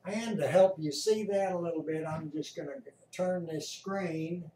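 A man giving casual, unhurried live commentary as an amateur host explaining a weather radar. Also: a little high, dark tone. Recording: roomy, distant mic, faint noise.

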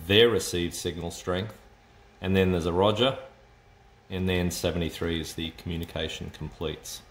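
Male hobbyist demonstrator, low register, halting off-the-cuff explanations, roomy home recording. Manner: hesitant, explanatory, thinking aloud. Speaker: amateur radio hobbyist demonstrating a kit. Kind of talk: unscripted casual tech demonstration. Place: indoors at a home desk with computers. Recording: roomy echo, noticeable background noise.

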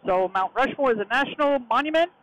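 A woman's voice: sits high, expressive, fast talker, a bit bright, low-quality recording. A woman narrates a casual motorcycle vlog. She is recorded outdoors as she rides, with a light layer of wind and road noise under her talk. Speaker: motorcycle vlogger.

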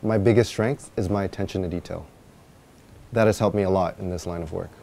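A man's voice: low voice, steady tone, a little fast, creaky, dark tone, a little hiss or hum. A man in his thirties playing an earnest, polite job applicant in an office interview, answering carefully in a scripted dialogue. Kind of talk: scripted dialogue for language learners.

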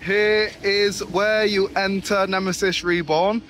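A man's voice: high-pitched, flat delivery, slow, noisy background. A young man giving a casual, enthusiastic vlog tour outdoors at a theme park, recorded on a handheld camera. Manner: chatty, enthusiastic tour guiding.

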